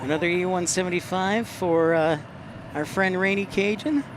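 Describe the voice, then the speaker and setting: Male livestreamer from Texas, higher-pitched, chatty and halting, recorded outdoors amid noticeable vehicle noise. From Texas, United States. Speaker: livestream host. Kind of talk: casual live commentary, reading and answering chat. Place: outdoors near an airport.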